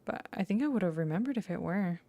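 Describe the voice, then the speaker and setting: A woman streaming a game with casual reaction commentary, musing aloud and correcting herself, in a creaky voice. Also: medium pitch, lively intonation, quick pace, warm tone.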